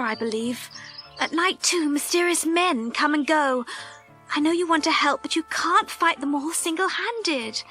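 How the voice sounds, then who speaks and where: Very high-voiced anxious damsel. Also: female voice, bright, forceful tone. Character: frightened young damsel, devoted and anxious. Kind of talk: melodramatic detective radio drama acting.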